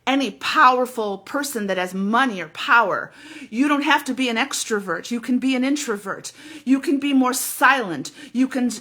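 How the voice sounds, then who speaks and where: A woman with a loud voice giving a fervent spiritual teaching, emphatic and repeating words as she goes. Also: a little high.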